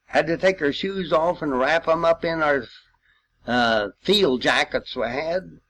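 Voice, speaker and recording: A man's voice: fairly high pitch, a little slow, fairly bright tone. An elderly man, a war veteran reminiscing informally in a folksy, rambling way with old-time expressions. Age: 80s or older. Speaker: World War II veteran sharing memories.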